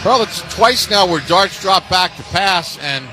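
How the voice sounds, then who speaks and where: A man's voice: sits high, expressive, unhurried, music in the background, rough recording. An American man calling live football on the radio as play-by-play announcer, in clipped, punchy phrases. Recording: loud stadium ambience behind radio broadcast. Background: stadium ambience.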